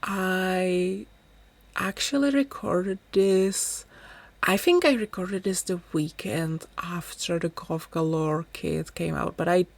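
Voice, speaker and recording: A woman's voice: fairly low pitch, takes it slowly, gravelly. A young woman whose first language is Czech, chatting in a rambling, self-deprecating way as she talks over her gaming video. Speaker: gaming YouTuber. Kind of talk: casual voice-over commentary.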